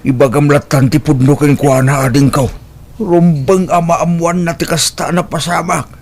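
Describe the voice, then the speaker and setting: A man's voice: a little high, little pitch movement, normal speed, darkish tone. A man playing a frank, dismayed older relative in a Filipino radio drama, with a disapproving manner.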